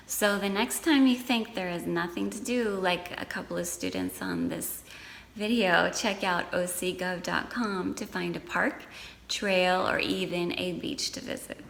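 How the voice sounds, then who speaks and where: A woman's voice: medium pitch, measured pace, creaky, echoey room, in a large hall, a little hiss or hum. A woman anchoring a student news program, reading scripted segments.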